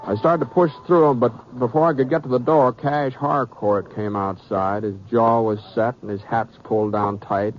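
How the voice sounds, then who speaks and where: An actor playing a plain-spoken cowboy in an old radio western, with an American accent and a folksy Western drawl. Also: male voice, mid-range voice, fairly even intonation, moderate pace, hardly any pauses, slight background noise, natural voice. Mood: tense, grave. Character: easygoing, plain-spoken cowboy who narrates his own tale. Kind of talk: old-time radio western acting and narration. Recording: muffled vintage radio recording.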